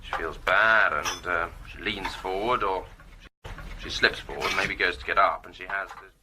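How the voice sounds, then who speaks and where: A man's voice: low voice, normal speed, natural voice. An actor playing a male pathologist, musing matter-of-factly in fragments on an old tape soundtrack in a radio drama. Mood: musing, detached. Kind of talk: naturalistic radio drama acting. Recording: noisy old videotape soundtrack, clicks.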